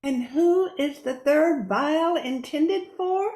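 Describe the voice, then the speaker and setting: Woman as a worried young niece, high and lilting, earnest, emphatic, roomy echo, radio play. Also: takes it slowly, dark tone. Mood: anxious. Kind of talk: amateur old-time radio drama acting.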